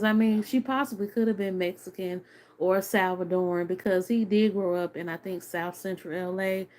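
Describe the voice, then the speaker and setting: A woman's voice: medium pitch, a little mellow. A woman in her thirties giving a casual, opinionated video review, chatty and full of fillers. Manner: chatty, many fillers like "like" and "you know"; earnest, opinionated. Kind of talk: casual commentary to camera.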